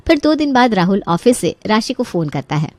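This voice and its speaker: A woman's voice: medium pitch, very animated, fairly quick, a little mellow, no echo. A woman narrator reading a scripted story in a storytelling style.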